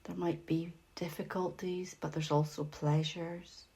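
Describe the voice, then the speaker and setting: Very slow, gentle woman guiding meditation. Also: lowish voice, level tone, creaky. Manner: gentle, unhurried, with long pauses. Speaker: meditation facilitator. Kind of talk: guided meditation.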